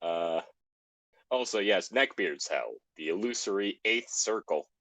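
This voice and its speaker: A man's voice: sits low, lively intonation, a little slow, a bit bright, no room sound. A man co-hosting a podcast, chatting casually and joking in a wry way over an online call. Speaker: podcast co-host. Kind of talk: casual joking group chat.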